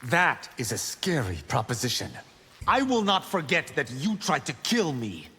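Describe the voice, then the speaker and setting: A man's voice: on the high side, big pitch swings, normal speed, bright, forceful tone, slightly rough, in a large hall, full character voice. A man playing a cocky, wisecracking young monk fighter in a video game, confident and bantering. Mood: defiant. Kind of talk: video game character acting.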